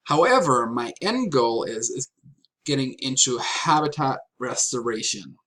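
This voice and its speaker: A man from Germany giving a prepared slide presentation as a graduate student, with deliberate, halting phrasing and pauses. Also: mid-range voice, animated, a bit bright. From Franconia, Germany.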